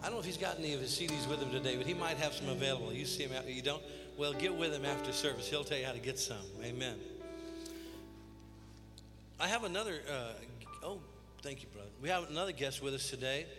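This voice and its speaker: A man's voice: medium pitch, fast. Pastor man introducing guests, reverberant. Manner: warm-up host, calls out amen. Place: large church sanctuary. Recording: church hall reverb with music.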